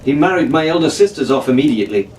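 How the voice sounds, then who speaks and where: A man voicing an earnest, gallant and proud young English gentleman adventurer, polite and formal, in a storybook reading. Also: a little high, animated, fast, natural voice. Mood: sombre. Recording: echoey room, distant mic, faint hiss.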